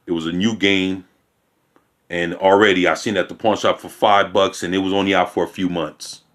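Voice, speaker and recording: A man's voice: deep voice, fairly even intonation, brisk, fairly bright tone. A man giving a casual commentary. He reads a news piece aloud and breaks off into blunt, profane remarks, often restarting his sentences. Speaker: video commentator. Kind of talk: casual reaction rant reading news aloud.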